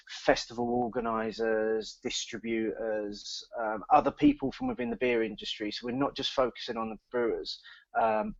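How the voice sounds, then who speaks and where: Gravelly man, halting podcast chat. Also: on the low side, dry recording. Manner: halting, many fillers and repeated words. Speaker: podcast co-host. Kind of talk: casual chat. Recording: dull, lo-fi sound.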